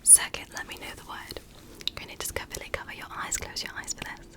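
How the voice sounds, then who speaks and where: A woman whispering softly and breathily in a gentle, reassuring ASMR roleplay as a doctor giving an exam. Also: fast talker. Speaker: ASMR performer playing an ear doctor.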